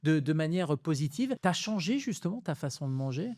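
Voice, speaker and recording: A man in his fifties, a doctor interviewing a guest in casual conversation, asking quick follow-up questions. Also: a little high, big pitch swings, darkish tone, dry recording, studio quality. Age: 50s. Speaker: doctor, co-host.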